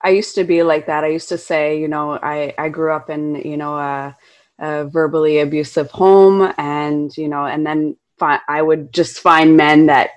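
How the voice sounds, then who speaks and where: A woman's voice: low voice, flat delivery, moderate pace. A woman hosting a conversation, talking openly and chattily with many fillers. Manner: open, chatty, lots of "you know". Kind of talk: casual conversation.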